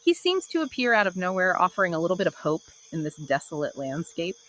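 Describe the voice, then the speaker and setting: A woman's voice: fairly low pitch, very animated, fast talker, a bit bright, poor audio. A woman co-hosting a podcast in a conversational literary discussion, with dramatic storytelling emphasis. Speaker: podcast co-host.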